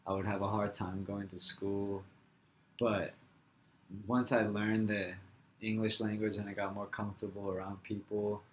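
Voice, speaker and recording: A young man from Florida whose first language is Farsi, answering interview questions in a relaxed, halting way with many "like" fillers. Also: on the low side, a little hiss or hum, rough recording. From Florida, USA.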